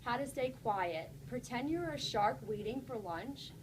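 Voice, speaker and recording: Female primary teacher, high voice, encouraging interactive story reading for kids, recorded in a noisy room. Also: expressive, measured pace. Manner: encouraging, instructive, addresses children directly. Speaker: teacher reading aloud to children. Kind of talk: interactive storybook read-aloud with questions to a class.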